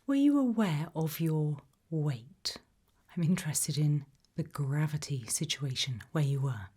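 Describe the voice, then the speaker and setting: A British woman speaking in a breathy whisper, calmly asking measured questions in an ASMR roleplay interview. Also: low voice. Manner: calm, measured, careful questioning. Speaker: ASMR roleplayer playing an abduction investigator.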